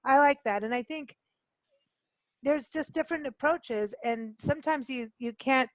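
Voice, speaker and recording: A woman's voice: high voice, normal speed, no room sound, poor audio. A woman hosting a casual radio interview, chatting in an informal, enthusiastic and slangy way. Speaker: radio show host.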